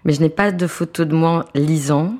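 A woman in her fifties, a translator and author, talking in a relaxed, thoughtful way with many small restarts, seated at home. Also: sits low, moderate pace. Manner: hesitant, thoughtful, repeats words when starting. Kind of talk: relaxed conversational interview. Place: apartment living room, seated on a sofa.

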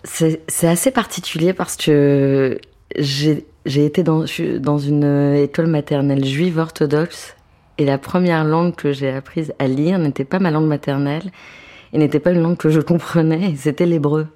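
A woman in her fifties, a translator and author, talking in a relaxed, thoughtful way with many small restarts, seated at home. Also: sits low, moderate pace. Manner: hesitant, thoughtful, repeats words when starting. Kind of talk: relaxed conversational interview. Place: apartment living room, seated on a sofa.

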